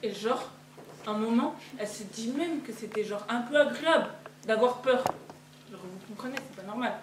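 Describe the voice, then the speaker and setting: A woman's voice: a little high, moderate pace. A teenage girl narrating in slangy, hesitant speech full of fillers. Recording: echoey hall from far off, noisy.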